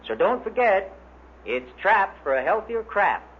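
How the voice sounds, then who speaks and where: A man's voice: sits high, sing-song, unhurried. An American man reading a script as a 1950s radio announcer. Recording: dull noisy 1950s radio recording.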